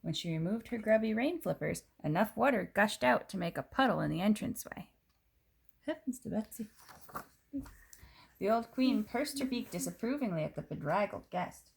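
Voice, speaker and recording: A woman reading a children's picture book aloud, in expressive narration with dramatic emphasis on descriptive words. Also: mid-range voice, normal speed, creaky.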